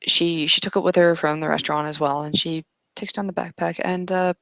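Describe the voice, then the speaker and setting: Woman, creaky low voice, dry sound, tentative improvised game narration, broken phrases. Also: fairly even intonation, fairly bright tone, no room sound, low-quality recording. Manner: halting, tentative, piecing sentences together. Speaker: roleplaying game player. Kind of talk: improvised tabletop roleplay narration.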